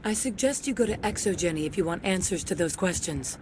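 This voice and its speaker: An actress playing a jaded, resentful security officer in a video game, terse and sardonic. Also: female voice, fairly low pitch, lively intonation, fairly quick, darkish tone, a little hiss or hum, close to an everyday voice. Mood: guarded, jaded.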